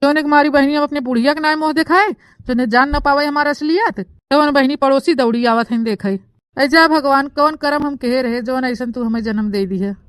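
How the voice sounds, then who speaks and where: A woman's voice: high-pitched, smooth, dry recording, natural voice. A woman voicing a scheming, grumbling young village bride with an eastern Uttar Pradesh accent in a comic cartoon. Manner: melodramatic, grumbling. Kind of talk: comic cartoon story dialogue in rustic dialect.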